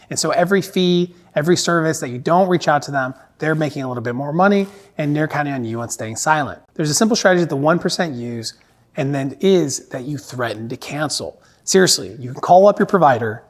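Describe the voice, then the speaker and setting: A man hosting an explainer monologue, talking in a conversational, instructive way. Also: a little high. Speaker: host. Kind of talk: explainer monologue giving money tips.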